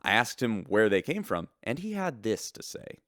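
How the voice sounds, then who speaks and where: Sci-fi radio newscaster: theatrical, tongue-in-cheek, lowish pitch, highly animated. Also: male voice, normal speed, dry recording, studio quality. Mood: wry announcing. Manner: theatrical, announcer-like. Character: smooth, tongue-in-cheek male space-station radio broadcaster. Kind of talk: scripted radio news narration in sci-fi drama.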